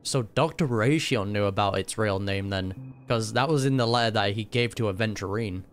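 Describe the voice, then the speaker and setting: A man's voice: on the low side, normal speed, slight background noise. A man giving casual reaction commentary on a game, musing aloud with some hesitation.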